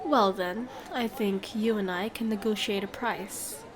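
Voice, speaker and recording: A woman's voice: mid-range voice, unhurried, crowd noise, natural voice. A woman playing a smug, haggling female merchant with glib, theatrical sales patter in a fantasy audio drama. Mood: smug, wheedling. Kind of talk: scripted fantasy audio roleplay.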